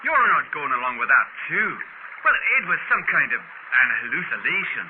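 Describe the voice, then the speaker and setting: An excitable, grumbling treasure hunter in a vintage radio adventure. His highish voice swings about as he exclaims and protests, through a very tinny recording. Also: normal speed, background noise. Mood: scornful, defiant. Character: excitable, grumbling male treasure-hungry expedition member. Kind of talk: old radio adventure serial acting.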